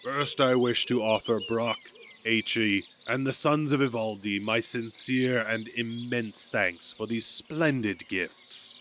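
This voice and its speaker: A man's voice: medium pitch, slow, a bit bright. A man voicing a stately, solemn king of the gods in a fantasy audio drama. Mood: solemn, grateful. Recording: amateur recording with background noise.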